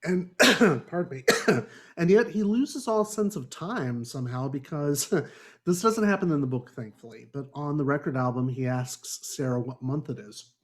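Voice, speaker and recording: A man's voice: on the high side, lively intonation, normal speed. A man hosting a casual book club discussion, opinionated and wry with exasperated asides. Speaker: podcast discussion host.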